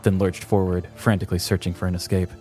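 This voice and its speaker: A man narrating a scripted true-crime comedy podcast in a theatrical, deliberate storytelling manner. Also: sits low, level tone, darkish tone, soft background music.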